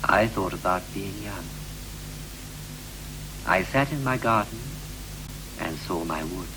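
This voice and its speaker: An actor playing a weary, brooding ageing husband in a 1960s poetic radio play, speaking in deliberate, declamatory phrases. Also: male voice, deep voice, animated. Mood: weary, reflective. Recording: noisy, hissy 1960s radio recording.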